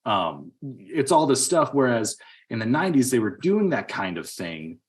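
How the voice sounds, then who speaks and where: A man's voice: medium pitch, very animated, normal speed. A middle-aged man giving his opinions in a casual, rambling movie podcast chat. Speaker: podcast host.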